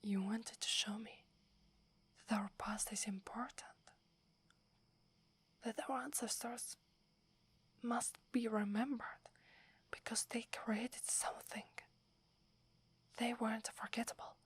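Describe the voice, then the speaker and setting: Woman acting a loyal young werewolf girl, italian accent, breathy whisper, slow and submissive, asmr roleplay. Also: fairly high pitch, steady tone, full character voice. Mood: earnest, reverent. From Italy. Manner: hesitant, reverent, submissive. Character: devoted young female werewolf, deferential to her alpha. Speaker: voice actress.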